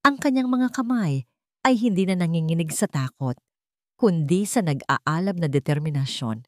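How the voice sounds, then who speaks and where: Filipina storyteller lady, lowish voice, dramatic scripted narration, very dry sound. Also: lively intonation, moderate pace, dry recording.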